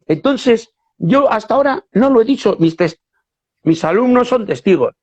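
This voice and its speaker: A man's voice: very high voice, lively intonation, moderate pace, a little mellow. A man hosting a casual interview who also teaches, speaking with enthusiastic, emphatic repetition. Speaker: host and whistling teacher. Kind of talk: casual interview over a live video stream. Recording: lo-fi online video call audio.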